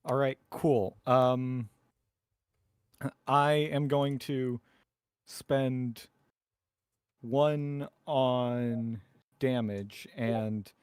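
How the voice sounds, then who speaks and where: A man chatting casually as a tabletop game player, thinking aloud deliberately about his moves. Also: medium pitch, fairly even intonation, a little mellow, clean tone, no echo, clean studio sound.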